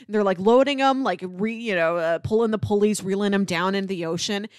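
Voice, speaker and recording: A woman's voice: mid-range voice, brisk, clear, resonant tone, dry recording, studio quality. A woman co-hosting a casual film discussion, making emphatic points and building them through repetition. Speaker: podcast co-host.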